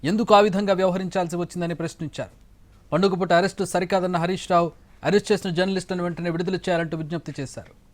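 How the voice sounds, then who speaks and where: A man's voice: high voice, fairly even intonation, fast talker. A man reading scripted news as an anchor in a formal bulletin style.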